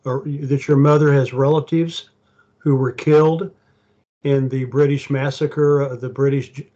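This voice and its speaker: A man's voice: medium pitch, little pitch movement, a little slow, dark tone. A man interviewing a guest in casual talk, who agrees eagerly and often. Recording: dull lo-fi sound.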